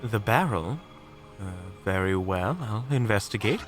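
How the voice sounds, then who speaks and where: A man's voice: lowish voice, expressive, a little slow, faint music behind. A man playing a polite, unflappable monster hunter in an audio roleplay, speaking in a formal, prim, theatrical manner. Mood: wry. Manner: formal, prim, wryly courteous.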